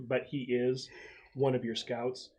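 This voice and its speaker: A man's voice: medium pitch, little pitch movement, slow, a little mellow, rough recording. A man narrating a tabletop roleplaying game as game master, describing scenes in a conversational way.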